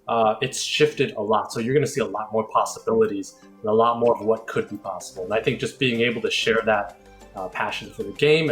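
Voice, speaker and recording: A man who works as an esports caster, answering interview questions in a casual, conversational way. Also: on the low side.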